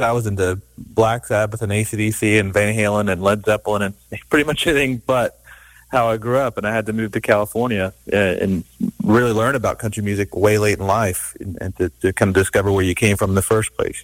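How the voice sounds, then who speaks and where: A man's voice: on the low side, no room sound, low-quality recording. A man from Georgia, a middle-aged music producer, talking casually in an interview and rambling with many restarts. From Savannah, Georgia, US.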